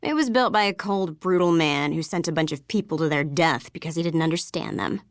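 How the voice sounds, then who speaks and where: A woman voicing a guarded, sarcastic young American misfit in scripted video game dialogue, with a casual, sullen, defensive deadpan. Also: low-pitched, a little fast, no echo, studio quality.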